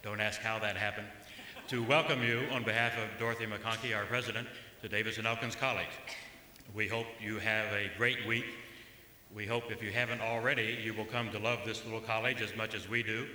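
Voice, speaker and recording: A man gives a welcome speech for a college, pausing and stumbling over his phrasing. His voice is low and very bright. It echoes around a large hall over a faint hum of room noise. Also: level tone. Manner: halting, with fillers. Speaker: college admissions officer. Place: concert hall.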